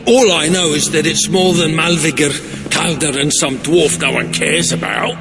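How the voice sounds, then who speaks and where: An actor playing a blunt, irreverent, rough-spoken Norse companion in a video game, talking in salty colloquial phrases. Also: male voice, a little high, normal speed, music in the background. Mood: gruff, dismissive. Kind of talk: video game cutscene acting. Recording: reverberant hall, clear background noise.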